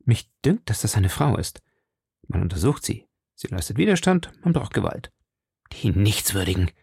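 A man's voice: fairly low pitch, sing-song, moderate pace, gravelly, darkish tone, breathy edge, dry recording, clean studio sound, exaggerated voice. A man voicing a bold, hot-headed young male swordsman, impulsive and commanding. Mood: tense murmur.

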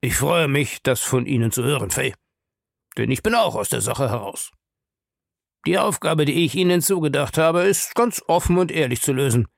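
A man voicing a shady, pushy male schemer in an audiobook, insistent, ironic and scornful, with a gravelly voice. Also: medium pitch, lively intonation, normal speed, no room sound, clean studio sound, pitched above the performer's own voice. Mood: mock admiring. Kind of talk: audiobook character dialogue.

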